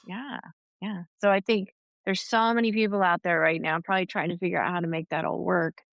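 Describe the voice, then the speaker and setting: Smooth-voiced woman podcast host. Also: lowish voice, fairly even intonation, a little fast, a bit bright, no echo, muffled recording. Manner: encouraging, gives short affirmations. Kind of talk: casual interview conversation.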